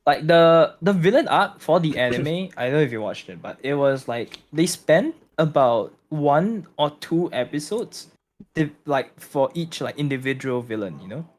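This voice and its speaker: A man's voice: on the high side, lively intonation, moderate pace. Young guy cohost, voice jumps around a lot, jokey and teasing. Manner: teasing, laughs easily. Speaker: podcast co-host. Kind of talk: casual chat.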